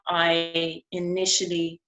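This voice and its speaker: A woman from Ghana speaking at a conference about her art, in an earnest, conversational way with frequent pauses. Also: fairly low pitch, completely flat delivery, fairly bright tone, rough recording. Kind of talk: conference speech.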